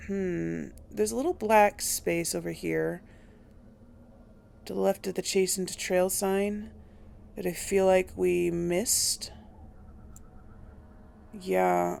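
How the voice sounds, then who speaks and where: A woman giving casual video game commentary, thinking aloud in a halting, musing way as she plays. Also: mid-range voice, gravelly, darkish tone, a little hiss or hum. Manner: halting, musing, talks to herself. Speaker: host of a video game playthrough.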